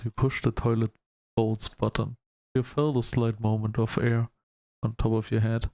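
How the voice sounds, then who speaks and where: A man hosting a gaming video, reading story text aloud in a halting, measured storytelling delivery. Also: on the low side, flat delivery, darkish tone, dry recording. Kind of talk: let's-play narration, reading game text aloud.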